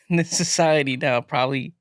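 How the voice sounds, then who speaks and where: A man's voice: a little high, fairly even intonation, normal speed, dry recording. A man chatting as a podcast guest in a studio, casual and rambling, packing his talk with fillers.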